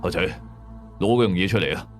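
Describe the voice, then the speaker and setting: 一個男人喺粵語廣播劇入面，演繹一個冷漠嚴肅、說話簡短有力嘅年長驅鬼師傅。. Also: male voice, low-pitched, quick pace, faint music behind, voice pushed down. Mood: commanding. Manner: terse, commanding, grave. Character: stern, cold older father, a ghost exorcist. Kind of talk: Cantonese radio horror drama.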